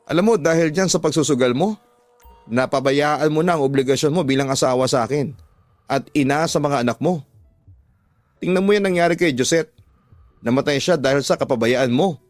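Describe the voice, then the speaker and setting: A man's voice: fairly high pitch, brisk, dry recording, faint music behind, lightly acted. A Filipino man playing an aggrieved, accusing working husband in a radio drama.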